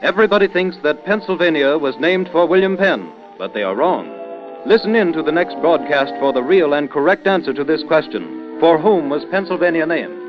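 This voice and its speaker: A man's voice: fairly high pitch, animated, moderate pace. A man announcing from a script in emphatic, polished 1930s radio salesman style, on a dull old recording with music behind.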